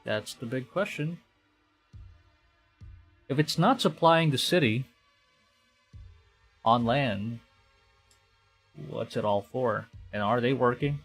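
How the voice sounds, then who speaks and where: A male game streamer from the Philippines with a mid-pitched voice reads a visual novel aloud. He moves between dramatic narration and muttered asides. Game music plays behind him. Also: takes it slowly, pauses often. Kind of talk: game stream, reading story text aloud with reactions.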